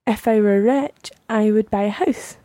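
A woman's voice: mid-range voice, slow, mellow, dry recording. Careful woman answering practice drills. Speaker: language learner.

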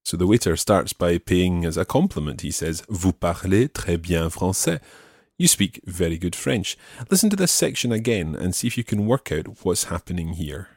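A man teaching French, patiently explaining and repeating phrases slowly. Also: deep voice, animated, a little mellow, slightly rough, no room sound, clean studio sound. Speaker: language teacher.

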